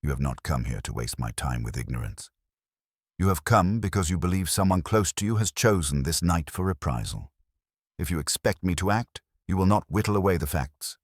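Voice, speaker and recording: Man playing an imperious, very deep detective. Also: normal speed, no room sound, studio quality. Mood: stern. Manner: steady, formal, precise, commanding. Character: brilliant, imperious male detective, curt and unhurried. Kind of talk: audiobook mystery dialogue.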